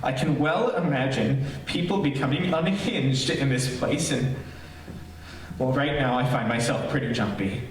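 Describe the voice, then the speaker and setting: A man playing a nervous radio reporter in a haunted house, halting and confiding in his listeners, in old-time radio horror style. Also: mid-range voice, lightly acted. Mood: jumpy. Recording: echoey distant stage recording, faint noise.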